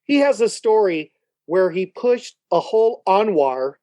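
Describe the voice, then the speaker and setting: Male co-host, casual storyteller, very varied pitch, dry close sound. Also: expressive, a little slow, dark tone, no room sound. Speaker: podcast co-host. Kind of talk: casual chat, telling anecdotes.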